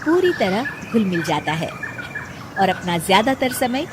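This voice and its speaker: A woman's voice: medium pitch, very animated, takes it slowly, noisy background. A woman reading scripted narration for a cartoon family story.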